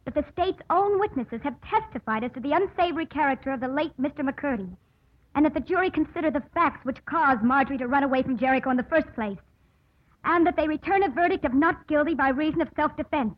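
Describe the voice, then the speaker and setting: A woman's voice: high-pitched, expressive, fast talker. An actress playing an earnest, composed woman lawyer in a vintage radio drama, speaking formally and emphatically. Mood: impassioned. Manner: formal, emphatic courtroom oratory. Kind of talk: 1940s-50s radio drama acting. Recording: muffled old radio broadcast.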